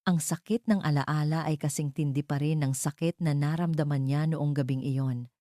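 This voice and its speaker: A woman's voice: deep voice, steady tone, moderate pace, warm tone, no echo, clean studio sound. A woman narrating a scripted love story in a dramatic storytelling style.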